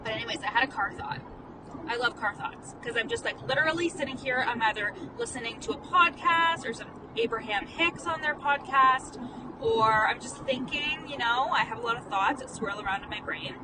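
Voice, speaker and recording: A woman's voice: high-pitched, expressive, moderate pace. Chatty stuttering woman talking in a car. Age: late 20s. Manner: chatty and candid, with frequent "like" fillers and swearing. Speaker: life coach and podcast host. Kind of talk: casual vlog monologue while driving. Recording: distant phone mic in a noisy car. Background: car and road noise.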